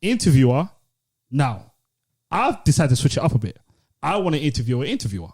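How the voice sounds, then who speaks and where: A man's voice: medium pitch, sing-song, a little fast, darkish tone, slightly rough. A British man co-hosting a podcast, telling stories in casual, slangy banter. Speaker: podcast co-host.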